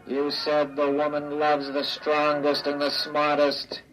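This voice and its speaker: A childlike male robot from old radio drama speaks in a slow, harsh drone. The pitch barely moves as he insists on his love, and music plays under the dull old recording. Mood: cold, matter-of-fact. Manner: droning, monotone, simple slow phrases. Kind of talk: 1950s radio drama acting.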